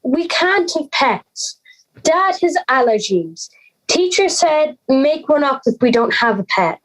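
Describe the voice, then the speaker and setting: A woman's voice: sits high, very animated, slow, exaggerated voice, low-quality recording. A young woman playing an anxious, timid schoolgirl in an audio drama, hesitant and pleading. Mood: worried. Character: anxious, timid schoolgirl afraid of being laughed at.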